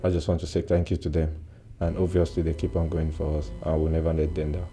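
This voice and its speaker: A young man in his twenties, a footballer giving a soft-spoken post-match interview with many "uh" pauses, recorded indoors close to the mic. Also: extremely low pitch, little pitch movement. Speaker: football player. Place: indoor press backdrop at a stadium.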